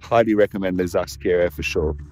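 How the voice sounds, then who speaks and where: A man's voice: low-pitched, fast, darkish tone, a little hiss or hum. A man, giving a frank, chatty vlog walkthrough of a ski resort, filming himself outdoors on a phone. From half French, half Australian. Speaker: ski travel agency founder, vlogger. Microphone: handheld phone at arm's length, selfie.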